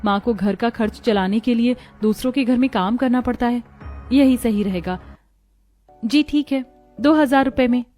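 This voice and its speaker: A woman's voice: on the high side, normal speed, warm tone, soft background music, lightly acted. A woman voicing a modest, hardworking young village seamstress in a cartoon, polite and earnest. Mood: polite, hesitant.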